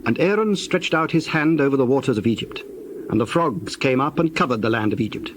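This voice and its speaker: A man narrating dramatized scripture in a measured, formal way. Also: mid-range voice, animated, slight background noise.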